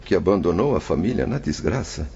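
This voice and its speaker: A deep-voiced remorseful spirit. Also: male voice, animated, brisk, gravelly, dark tone, a little hiss or hum. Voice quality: earnest, sorrowful. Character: remorseful male spirit recalling his sorrows. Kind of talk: audio drama narration and dialogue. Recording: dull lo-fi audio with music behind.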